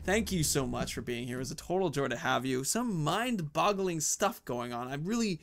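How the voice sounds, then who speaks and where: A man's voice: a little high, lively intonation, normal speed, vocal fry. A man hosting a casual let's play, informal and thinking aloud as he goes. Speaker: gaming video host.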